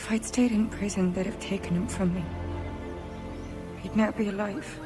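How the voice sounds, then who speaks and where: An actress playing a fiery young pirate woman brought low by grief, in a video game cutscene. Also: steady tone, moderate pace, over loud music, lightly acted. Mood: bitter grief. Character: grieving young pirate woman, fiery but broken-hearted.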